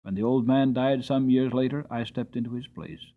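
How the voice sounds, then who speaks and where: A man's voice: mid-range voice, fairly even intonation, normal speed, natural voice. Kind old-fashioned coachman, a man voicing him, plain and earnest, phone-line quality. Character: kind, hardworking male coachman. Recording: tinny narrowband recording.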